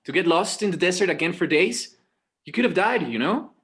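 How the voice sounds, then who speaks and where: A man's voice: sits high, lively intonation, brisk, fairly bright tone. A man acting as an exasperated but dutiful forty-year-old son, hesitant and weary, in a naturalistic table read. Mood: weary, pleading.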